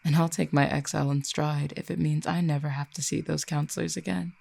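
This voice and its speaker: Casting: composed, dangerous exiled cupid for audio roleplay performed by an actor, eloquent and formal, dark creaky voice of medium pitch. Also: little pitch movement, no room sound. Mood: composed, resolute. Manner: measured, formal, eloquent phrasing. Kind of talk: audio roleplay acting, addressed to listener.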